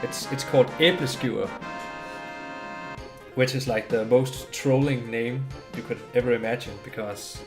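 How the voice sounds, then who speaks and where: A man from Denmark, a native Danish speaker, chatting politely and casually while streaming a game, often restarting his words. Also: mid-range voice. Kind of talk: casual live-stream chat while gaming. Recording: game music playing behind.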